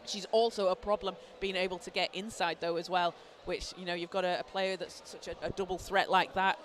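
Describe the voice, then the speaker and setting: Hoarse high-pitched man calling basketball. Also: brisk. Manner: excited, shouting calls on big plays. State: hoarse from a cold. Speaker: basketball commentator. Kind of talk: live play-by-play commentary. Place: indoor basketball arena. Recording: clear arena noise behind.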